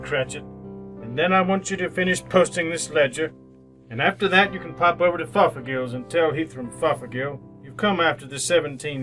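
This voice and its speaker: A man's voice: fairly high pitch, close to an everyday voice, rough recording. An actor playing a grim, miserly, bitter old businessman in radio drama style, scornful, snapping and grumbling. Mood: gruff, dismissive. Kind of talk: re-created old-time radio drama acting.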